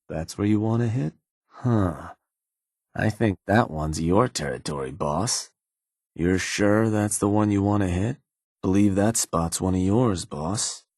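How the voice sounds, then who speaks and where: Low, husky raider fixer. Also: male voice, measured pace, darkish tone, no room sound, clean studio sound. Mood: businesslike, casual. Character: laid-back raider fixer, shrewd male advisor to a gang boss. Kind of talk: video game character dialogue.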